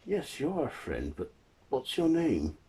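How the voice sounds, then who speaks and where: Storybook grandfather, a man acting: old, lonely and gentle, higher husky voice, earnest and kind. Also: huge pitch swings, a little slow, exaggerated voice. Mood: kindly. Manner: kindly, earnest.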